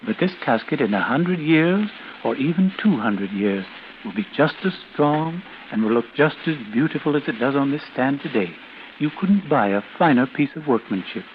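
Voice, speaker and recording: An actor playing a solicitous, solemnly courteous mortician with a smooth sales patter in a 1940s radio drama. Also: male voice, medium pitch, expressive, moderate pace, lightly acted. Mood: unctuous, persuasive. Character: unctuous, solicitous mortician selling caskets. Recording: muffled, hissy old radio recording.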